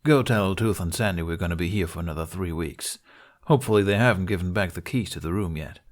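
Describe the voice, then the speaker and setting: A man voicing a gruff, exasperated but caring male guardian in a storybook reading, scolding and sardonic. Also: low voice, fast, close to an everyday voice. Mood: firm, softening.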